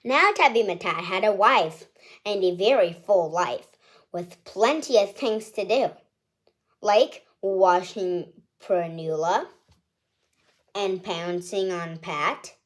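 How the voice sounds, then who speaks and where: Very slow, cheerful woman reading aloud. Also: medium pitch. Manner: cheerful, chatty, upbeat. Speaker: children's book reader, channel host. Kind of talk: reading a picture book aloud to kids.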